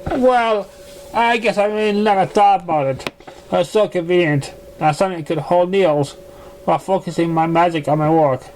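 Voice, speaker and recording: A man voicing a dramatic, genteel female pony dressmaker in a theatrical, drawn-out, affected manner. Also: high-pitched, darkish tone, slight background noise, pitched above the performer's own voice, lightly acted, rough recording. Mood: defensive.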